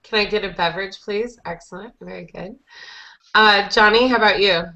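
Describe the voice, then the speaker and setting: Bright-voiced young woman teacher on a call. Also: medium pitch, moderate pace. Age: young adult. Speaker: online English teacher. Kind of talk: encouraging conversational lesson. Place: small indoor room, group video call. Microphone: headset boom mic. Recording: dull lo-fi video call headset audio.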